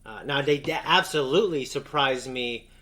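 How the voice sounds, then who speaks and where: A man's voice: mid-range voice, normal speed, sharp tone. A man chatting casually as co-host of a reaction show, in a jokey, sweary way. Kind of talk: casual chat.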